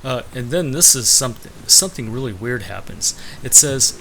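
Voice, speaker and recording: Bright, creaky-voiced male gamer. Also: medium pitch, expressive, moderate pace, a little hiss or hum, poor audio. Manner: sardonic, complaining. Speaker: let's play gamer. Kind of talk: casual gameplay commentary.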